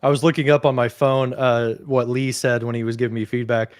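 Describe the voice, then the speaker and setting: A man's voice: medium pitch, brisk. A man hosting a casual chat, talking easily and filling his speech with "like" and "you know". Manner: relaxed, chatty, peppered with "like" and "you know". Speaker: host. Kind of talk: casual chat on a video call.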